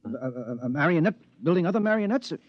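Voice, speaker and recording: Blunt practical husband, dry muffled old radio sound. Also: male voice, a little high, sing-song, fast, dry recording. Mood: matter-of-fact. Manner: blunt, commanding, matter-of-fact. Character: practical middle-aged husband friend. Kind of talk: 1950s radio acting. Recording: dull 1950s radio recording.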